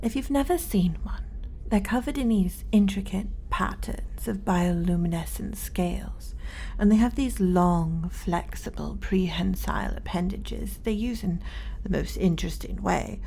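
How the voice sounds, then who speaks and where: A hushed, intimate whisper from a woman acting as a fascinated female researcher in a sci-fi ASMR roleplay. She muses flirtatiously on alien cultures in a dark, soft tone with a creaky edge. Faint noise and ambience sit behind her. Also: medium pitch, a little slow, poor audio. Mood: playful, suggestive.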